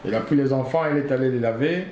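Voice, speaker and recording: A man from Congo tells his story as an interview guest, earnest and halting, often repeating himself. His voice sits at an ordinary pitch. It sounds like an echoey room with a faint hiss underneath. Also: darkish tone. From Congo (DRC). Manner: earnest, repeats phrases, many hesitations. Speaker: guest, association founder. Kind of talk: interview, telling a personal story.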